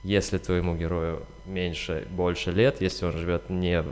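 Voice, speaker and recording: Male screenwriter guest, deep voice, casual explainer, hall-like reverb. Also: level tone, normal speed, darkish tone.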